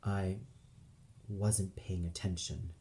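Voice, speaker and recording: A man's voice: low-pitched, flat delivery, slow pace, warm tone, pitched below the performer's own voice. A man as a mumbling, shaky addicted composer. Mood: sick, defeated. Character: despairing, shaky, drug-addicted male composer.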